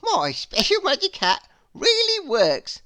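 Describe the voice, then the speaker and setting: Woman voicing a high, sly storybook hatter. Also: wildly swooping pitch, slow, bright, forceful tone, dry recording, clean studio sound, exaggerated voice. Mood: chuckling, pleased. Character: sly, amused hatter man with a clever scheme. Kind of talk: children's storybook character voice.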